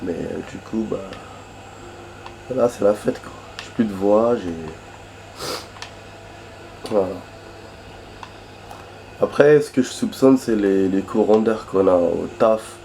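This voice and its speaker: A man hosting a gameplay video, his voice hoarse and fading from a cold that has dragged on. He rambles casually and swears when the action surprises him, speaking on the low side with game noise clearly heard behind him. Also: takes it slowly, pauses often, warm tone, low-quality recording. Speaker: gaming video host. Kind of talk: casual let's-play commentary. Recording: background noise and game sounds.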